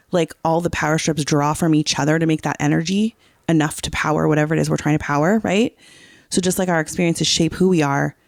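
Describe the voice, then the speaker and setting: Podcast co-host, mid pitch, fry in the voice, casual explainer who seeks confirmation, dry recording. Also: no room sound. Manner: often checks that the listener follows. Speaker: teacher. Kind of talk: casual podcast chat, explaining song lyrics.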